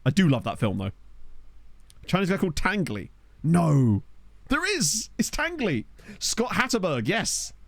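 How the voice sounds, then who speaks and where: A man's voice: on the high side, wildly swooping pitch, a little slow, creaky, long pauses, no room sound. Chatty man streaming a game. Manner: chatty, rambling, laughs often, reacts aloud to players. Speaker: gaming streamer. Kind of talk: casual gameplay commentary. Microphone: desk microphone.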